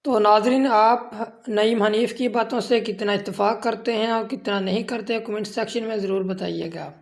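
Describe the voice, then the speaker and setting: A woman's voice: mid-range voice, fairly even intonation, moderate pace, dry recording. A woman with a Pakistani accent reading a scripted celebrity news voice-over steadily, in formal phrasing. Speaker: news narrator.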